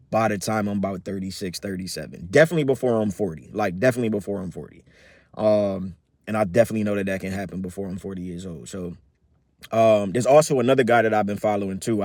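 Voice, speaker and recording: A man in his thirties giving a casual personal update as a vlogger, rambling with many fillers and restarts in a relaxed, upbeat way. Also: on the low side, dry recording, studio quality. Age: 30s.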